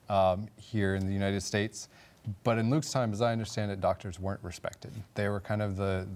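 A man's voice: lowish voice, darkish tone. A man from Texas, producer of the show, talking things through thoughtfully with some hesitation in a Bible study discussion. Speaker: producer and panelist.

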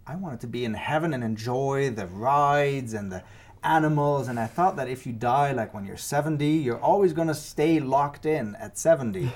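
A man's voice: medium pitch, a little mellow. Easygoing male talk show host. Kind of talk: casual talk show hosting.

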